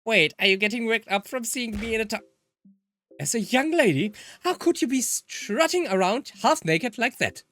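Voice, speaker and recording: A man playing a distraught young visual novel protagonist, hot-headed and exclamatory. The delivery is high and swings widely in pitch, from a native German speaker, with faint music underneath. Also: animated, normal speed, sharp tone, heavily acted. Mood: scolding, flustered. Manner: emotional, exclamatory. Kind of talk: dramatic visual novel read-aloud.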